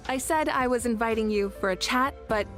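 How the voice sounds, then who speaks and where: A woman voicing a poised, courteous young ruler, measured and a bit awkward, in a video game. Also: on the high side, fairly bright tone, lightly acted. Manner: polite, measured, a little awkward. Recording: game audio with faint music.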